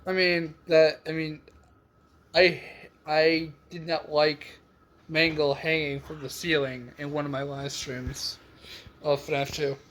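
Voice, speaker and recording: A man's voice: fairly high pitch, level tone, takes it slowly, a bit bright. A man doing casual reaction commentary on game voice lines, rambling and hesitant as he thinks aloud. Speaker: reaction video host.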